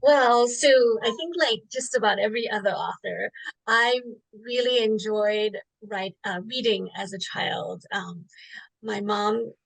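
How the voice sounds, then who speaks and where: Gravelly-voiced woman author from Singapore. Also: medium pitch, measured pace, a bit bright, poor audio. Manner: thoughtful, many fillers and restarts. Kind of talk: interview answer, casual storytelling.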